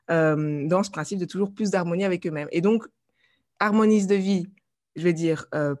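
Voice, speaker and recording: A woman's voice: medium pitch, a little mellow. A woman, a guest in a podcast interview, talking hesitantly with many fillers and restarts. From Belgium. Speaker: guest, life coach and artist. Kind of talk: conversational interview.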